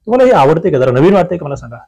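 A man's voice: a little high, very animated, rapid-fire, darkish tone. A man with a Maharashtrian accent teaching an exam-prep lesson, reading questions and answers aloud and stressing points with rhetorical questions. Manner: instructive, emphatic, often asks rhetorical questions.

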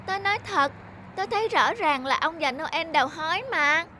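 Someone voicing an earnest high-pitched little boy. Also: animated, normal speed, sharp tone, a little hiss or hum, heavily acted. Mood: insistent, upset. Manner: emphatic, childlike, insistent. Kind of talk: animated children's story dub.

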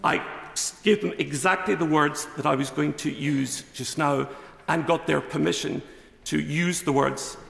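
Middle-aged politician, formal and defensive, gravelly voice, slightly high, chamber reverb. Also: male voice, steady tone, a little slow, fairly bright tone. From Scotland. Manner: formal, defensive, reading from notes. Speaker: member of parliament. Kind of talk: parliamentary speech. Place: debating chamber. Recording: echoey chamber with long reverb.

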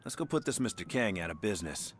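A man's voice: fairly low pitch, big pitch swings, quick pace, background noise. An actor playing a hard-driving, foul-mouthed man in a crime shootout video game, commanding and urgent. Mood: low, serious. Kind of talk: cinematic action game acting. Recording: loud music and gunfire behind.

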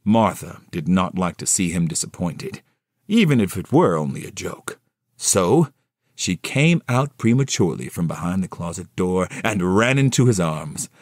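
A man giving theatrical storybook narration, expressive and dramatic with measured phrasing. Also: lowish voice, no echo, clean studio sound. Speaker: narrator and solo voice actor.

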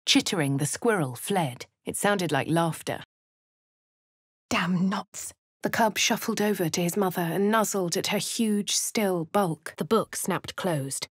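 An actress voicing a dry-witted, composed female adventurer in a video game, with a husky upper-class English accent and a crisp, measured delivery. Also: on the low side, no room sound. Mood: wry. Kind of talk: video game voice lines, in-character narration. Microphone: close studio mic.

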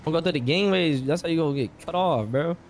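A man's voice: fairly high pitch, fast talker, slight background noise. A man roleplaying in a crime game, bantering in profane, argumentative street slang. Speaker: online roleplay gamer.

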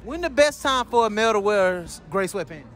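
A man's voice: high-pitched, animated, fairly quick, a bit bright. A young man, a street interviewer asking playful questions with a teasing, jokey manner, recorded at night in an arcade and outside on a city street. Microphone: handheld phone.

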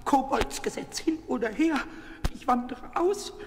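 An actor voicing a small, mischievous childlike kobold, squeaky and scratchy, stammering and repeating words in a children's audio play. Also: male voice, lively intonation, measured pace, heavily acted. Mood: sulky, mournful. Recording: reverberant old audio play with hiss.

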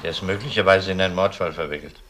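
Low-voiced seasoned detective. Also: male voice, very fast, fairly bright tone. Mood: probing. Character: seasoned male homicide detective in charge, matter-of-fact. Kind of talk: German crime radio play acting.

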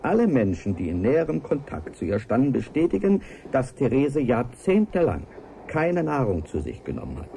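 An actor playing an earnest, driven biologist who dictates a formal, courteous and emphatic taped letter in a radio play. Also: male voice, medium pitch, huge pitch swings, normal speed, warm tone, a little hiss or hum. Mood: insistent.

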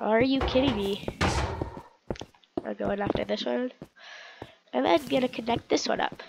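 A woman's voice: fairly high pitch, a little slow, vocal fry, very noisy. A young woman with a stuffy, sick-sounding voice giving casual commentary while playing a game, cheering and groaning at what happens. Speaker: gaming video creator.

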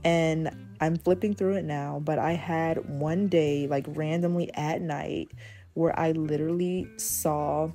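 A woman in her twenties, hoarse from losing her voice, talking casually about goal setting as a journaling channel host, keeping her voice low. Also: steady tone, warm tone, soft background music. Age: 20s. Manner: deliberately speaking in a lower register. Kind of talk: casual talk over journaling.

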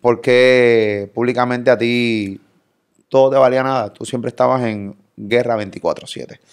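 A Puerto Rican man in his thirties hosting a casual podcast interview in a studio, energetic and playful, talking with his hands. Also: medium pitch, unhurried, smooth. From Puerto Rico. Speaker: podcast host. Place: pink studio set. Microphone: boom studio mic.

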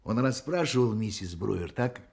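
An actor in a radio play voicing a gossipy, cynical, hard-drinking hotel detective who mutters, chuckles and rambles. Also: male voice, on the low side, animated, normal speed, vocal fry, darkish tone. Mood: smug, sly. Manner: rambling, muttering, chuckling, full of interjections and tag questions. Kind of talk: Soviet-style radio play acting.

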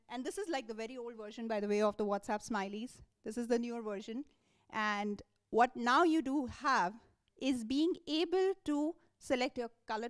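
A woman's voice: sits high, no room sound, clean studio sound. A woman giving a live conference talk. She speaks conversationally, with many fillers and restarts, and puts questions to the room.

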